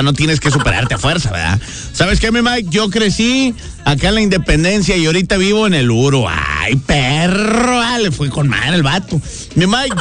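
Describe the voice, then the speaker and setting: A man's voice: sits high, fairly bright tone. A man with a Monterrey accent hosting a radio show, teasing callers in slangy banter. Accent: northern Mexican, Monterrey. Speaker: radio host. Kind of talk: casual morning-radio banter. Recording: music bed playing behind.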